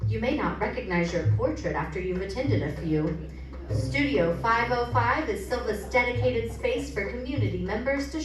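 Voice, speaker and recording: A woman giving a playful, theatrical scripted promotional read with an expressive delivery. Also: a little high. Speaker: advertisement reader. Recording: echoey room, distant mic, music.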